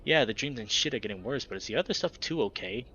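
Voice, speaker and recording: A man's voice: mid-range voice, expressive, fast, pushed and bright, dull audio, slight background noise. A man voicing a stout young fennec stoner with a laid-back, swearing drawl.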